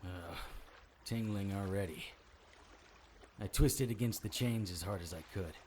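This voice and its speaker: An actor playing a wry, world-weary supernatural investigator, narrating a noir audio drama in clipped, deadpan phrases. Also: male voice, deep voice, measured pace, a little hiss or hum. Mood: strained, grim.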